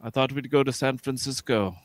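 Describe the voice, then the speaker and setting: A man playing a dignified, ageing, reserved starship captain with measured, formal delivery in a sci-fi radio theatre read. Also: mid-range voice, steady tone, natural voice. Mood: reflective.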